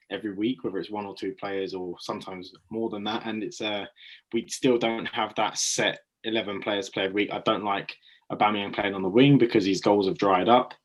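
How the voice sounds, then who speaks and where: A man giving football predictions in a casual chat, measured with frequent ums. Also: fairly low pitch, poor audio. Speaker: guest pundit.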